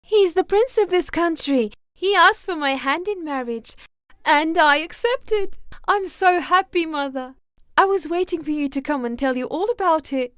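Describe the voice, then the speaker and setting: A woman's voice: very high voice, moderate pace, a bit bright, no echo, clean studio sound. A woman voicing a sweet, innocent young fairy-tale maiden in a cartoon, earnest and naive. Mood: happy, earnest. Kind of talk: cartoon character acting.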